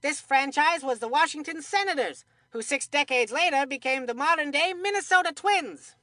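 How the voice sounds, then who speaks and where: A woman's voice: high-pitched, normal speed, sharp tone, no echo, studio quality. A woman voicing a cheerful, chatty kid baseball commentator in a video game, with folksy, scripted delivery. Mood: upbeat, informative. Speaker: sports commentator.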